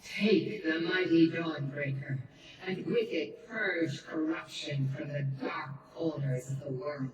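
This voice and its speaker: A woman's voice: sits low, slow, recorded from a distance. A woman voicing a solemn, commanding female spirit of light with grave, stately speech. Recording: roomy game audio heard from afar with music.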